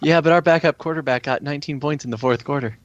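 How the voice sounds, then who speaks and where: A man's voice: fairly high pitch, fast, dry recording, dull audio. An American man talking casually as an interview guest and event organizer.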